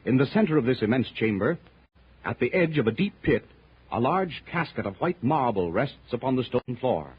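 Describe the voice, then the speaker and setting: A male narrator with a very husky, mid-pitched voice reads in the theatrical manner of 1930s radio. His delivery is measured and portentous, and the old recording is muffled. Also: big pitch swings. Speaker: narrator of an adventure serial.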